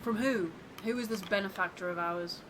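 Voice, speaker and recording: A woman voicing a stern, reserved expedition leader in a game, measured and formal. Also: medium pitch, natural voice. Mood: reserved, grave. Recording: noisy home recording.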